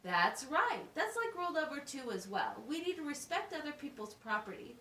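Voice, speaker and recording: A woman playing a teacher in a scripted classroom puppet show, explaining things simply and deliberately. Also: high-pitched, sing-song, pushed and bright. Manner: instructive, simple wording with deliberate enunciation. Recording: roomy, distant mic with faint noise.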